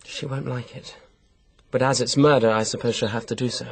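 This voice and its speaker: An actor playing an embarrassed, evasive British husband in a radio mystery, hedging with understatement. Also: male voice, mid-range voice, fairly even intonation, brisk, slight background noise, lightly acted. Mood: awkward, reluctant.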